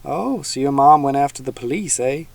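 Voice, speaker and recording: A man voicing a gruff, menacing old male swamp hermit with a folksy backwoods drawl. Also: medium pitch, animated, moderate pace, dry recording.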